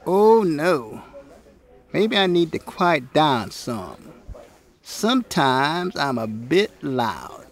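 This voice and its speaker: A man's voice: fairly high pitch, big pitch swings, measured pace. A man voicing a weary, good-natured, humbly earnest neighbor in a children's storybook, folksy and reflective. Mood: humbled surprise. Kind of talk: children's storybook read aloud.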